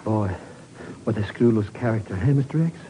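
An actor playing a brash, slow-witted comic sidekick who blusters and stammers in slang, in a 1950s radio drama. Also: male voice, on the low side, dark tone, close to an everyday voice. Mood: flustered. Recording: faintly noisy old radio recording.

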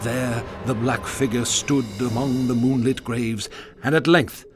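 A man narrating a ghost story in a measured, solemn style. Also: mid-range voice, steady tone, over music.

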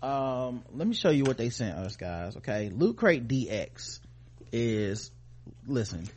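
A man's voice: mid-range voice, big pitch swings, slow pace, darkish tone. An American man co-hosting a podcast in casual, profane, slangy chat, playfully addressing his listeners. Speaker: podcast co-host.

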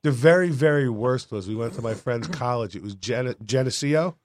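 A man from New York state hosting a live radio show, with a raspy voice and a cold, talking in a boastful, joking way. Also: medium pitch, expressive, moderate pace, darkish tone, dry recording, studio quality. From New York state, USA. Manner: boisterous, boastful and jokey. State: has a cold. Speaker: radio host.

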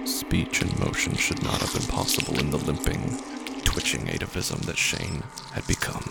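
A deep-voiced man with a gravelly creak narrates scripted horror. His delivery is grave and dramatic, dropping toward breathy near-whispers between deliberate pauses. A noisy ambient bed with dripping water sits behind him. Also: very animated.

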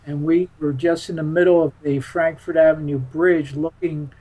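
Rambling older American man, fairly high voice. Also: steady tone, mellow, low-quality recording. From Philadelphia, United States. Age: 70s. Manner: rambling, halting, chuckles while talking. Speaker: interview guest, UFO witness. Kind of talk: casual reminiscing in an interview.

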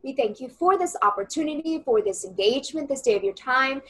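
A woman voicing a strong, direct female galactic being who speaks loudly and formally, as "we". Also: sits high, expressive, brisk. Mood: formal, welcoming. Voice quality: loud voice. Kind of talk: channeling session.

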